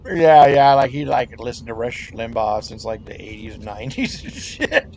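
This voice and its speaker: A man's voice: mid-range voice, very animated, normal speed, darkish tone. A middle-aged man chatting casually over game voice chat, rambling, laughing often and swearing freely. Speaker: gamer in voice chat.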